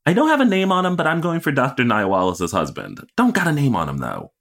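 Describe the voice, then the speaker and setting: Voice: medium pitch, huge pitch swings, fast. A podcast host chatting casually about television in an opinionated, playful way. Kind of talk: casual TV recap chat.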